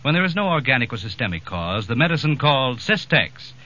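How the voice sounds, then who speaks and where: A man's voice: mid-range voice, big pitch swings, brisk, bright, forceful tone. An American man reading a scripted 1940s radio commercial as an announcer, emphatic and persuasive.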